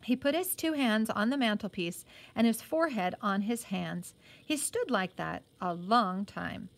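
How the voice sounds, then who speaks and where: A woman's voice: medium pitch, expressive, a little slow, vocal fry. A woman reading a novel aloud as a teacher would, with short explanatory asides.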